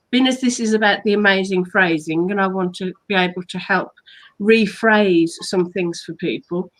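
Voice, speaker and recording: English woman, co-host of a casual livestream chat, higher register, playful storyteller. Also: a bit bright, rough recording. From England. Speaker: author and hypnotherapist co-host.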